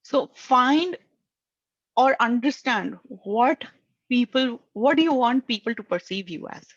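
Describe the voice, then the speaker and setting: A woman's voice: a little high, expressive, takes it slowly, no echo, lo-fi sound. A woman with an Indian accent, for whom English is a second language, giving earnest networking advice and often tagging her lines with "right?". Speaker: career coach. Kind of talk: advice talk.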